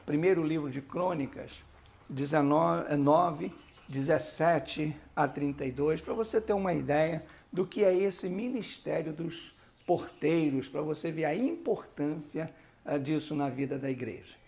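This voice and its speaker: Gravelly Brazilian pastor, higher-pitched male voice. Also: a little slow, darkish tone. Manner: didactic, emphatic, conversational with rhetorical questions. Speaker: pastor teaching a church leadership course. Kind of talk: instructional video teaching with sermon-like exhortation.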